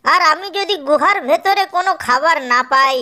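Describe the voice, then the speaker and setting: High, bright, boastful hungry fox acted out. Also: moderate pace, exaggerated voice. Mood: threatening. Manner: boastful, threatening. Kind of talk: children's cartoon character voice.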